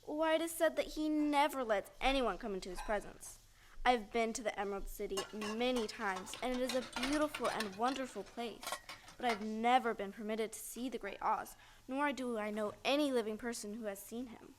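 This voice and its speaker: Woman playing a high-voiced knowing farm woman. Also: normal speed, a little hiss or hum, lightly acted. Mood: awed, knowing. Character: farm woman, wary yet hospitable and knowing. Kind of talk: audio play acting.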